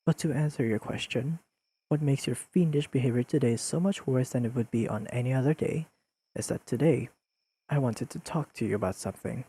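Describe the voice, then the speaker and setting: A man playing a deadpan, pedantic but secretly fond young friend in an intimate audio roleplay, speaking in precise, dryly teasing sentences to the listener. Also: mid-range voice, brisk, husky, poor audio. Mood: mock-stern teasing. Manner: deadpan, precise, formal wording with dry teasing.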